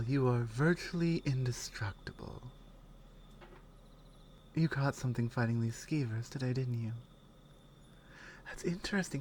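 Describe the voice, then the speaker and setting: A woman playing a strong, caring Nordic warrior companion in an intimate ASMR roleplay, speaking in halting phrases that often drop toward a whisper. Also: very deep voice, a little mellow, slight background noise, full character voice. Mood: concerned, curious. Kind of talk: ASMR roleplay, intimate one-sided dialogue.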